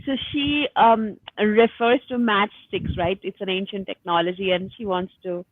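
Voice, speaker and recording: A woman's voice: medium pitch, steady tone, normal speed, dry recording. A woman talking casually and chattily as a panelist in a group book discussion. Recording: phone-like narrow-band call audio.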